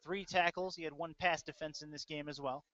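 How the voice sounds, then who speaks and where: Male sports announcer, slightly high voice, live football play-by-play, muffled lo-fi stream. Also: steady tone, a little fast, sharp tone, no room sound. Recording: dull lo-fi web audio stream.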